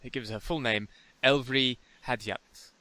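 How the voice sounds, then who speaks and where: A man's voice: mid-range voice, fairly even intonation, a little slow, bright, forceful tone, no room sound. A British man co-hosting a podcast, laid-back and agreeable, often answering in short replies. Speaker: podcast co-host. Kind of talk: casual anime discussion.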